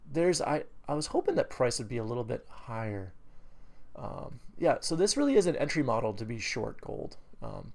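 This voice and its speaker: A man's voice: mid-range voice, creaky, a little mellow. A man with a New England accent talking through a trade review over his charts, rambling with many fillers and self-corrections, breaking into enthusiastic bursts. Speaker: trading educator. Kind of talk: screen-recorded trade review.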